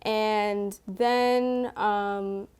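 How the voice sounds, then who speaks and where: A woman's voice: mid-range voice, steady tone, very slow, a little mellow, clean tone. A young woman from Trinidad, a painter chatting in an interview with many ums and likes. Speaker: painter, guest. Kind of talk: casual interview answers.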